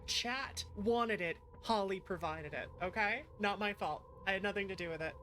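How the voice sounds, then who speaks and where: A woman's voice: medium pitch, normal speed, a bit bright, a little hiss or hum. A woman doing casual livestream game commentary, playful and slangy, swearing and bantering with her chat. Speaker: streamer, virtual YouTuber.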